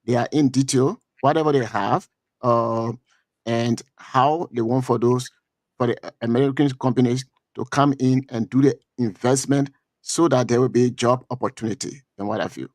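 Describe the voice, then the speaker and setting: Liberian guy talking politics, stops and restarts a lot, lots of uh's, mid pitch, dry close mic. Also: steady tone, dry recording. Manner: halting, repeats words often, many fillers. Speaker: commentator on a streamed talk show. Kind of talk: political commentary.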